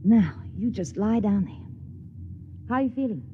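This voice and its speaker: Woman acting caring rocket stewardess, brisk, soothing, 1950s radio drama, mid pitch, slightly muffled vintage recording. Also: animated, natural voice. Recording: dull 1950s radio recording, faint noise.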